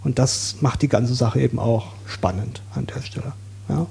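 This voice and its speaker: A man from Saxony, a guest on an interview podcast, explaining his views in a thoughtful, measured way. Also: mid-range voice, gravelly, a little mellow, husky. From Saxony, Germany.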